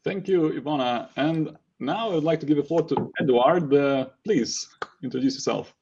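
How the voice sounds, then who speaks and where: A man moderating a panel discussion informally, speaking in a halting, hesitant way. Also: on the high side, a little mellow, slightly rough, dull audio.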